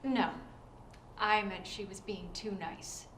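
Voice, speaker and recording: A woman playing a sharp-tongued, skeptical character in a comedy-drama web series, pointed and probing. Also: mid-range voice, steady tone, takes it slowly, close to an everyday voice. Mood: skeptical, needling. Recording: roomy echo, clear background noise.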